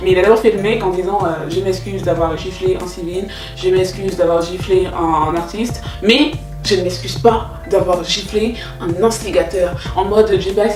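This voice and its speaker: A young woman telling stories in a casual, animated, teasing and slangy way, like an online host. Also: lowish voice. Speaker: YouTube host telling K-pop gossip. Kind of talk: casual chatty storytelling with commentary. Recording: roomy echo, distant mic, music behind.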